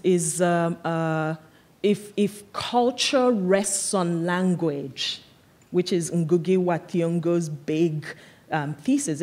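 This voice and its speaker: A woman in her thirties from Nigeria speaks on a large auditorium stage into a stand microphone, her voice sitting a little low. She talks in a thoughtful, explanatory way, circling back with "like" and "um" as she builds her points. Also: a little mellow. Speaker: guest artist. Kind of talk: onstage conversation before an audience.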